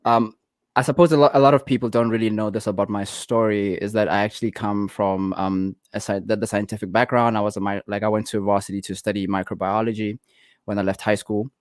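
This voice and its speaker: A young South African man with a lowish voice talks in a relaxed, casual way, full of fillers and restarts. His voice sounds dry and close, with no room around it. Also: brisk, dry recording. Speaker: guest artist. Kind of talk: casual interview talk.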